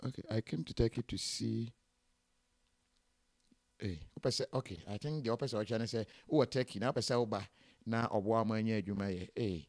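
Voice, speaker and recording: A man's voice: on the low side, fairly quick, a little mellow, dry recording. A Ghanaian man hosting an interview, chatting casually in a mix of English and Twi and reading out comments from viewers.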